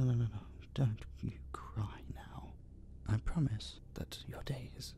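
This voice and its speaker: A man playing a possessive, obsessive masked kidnapper in an intimate roleplay audio drama, coaxing and menacing in a breathy, gravelly, teasing whisper. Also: fairly low pitch, warm tone. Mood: mock soothing. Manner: slow, dominant, cooing and teasing.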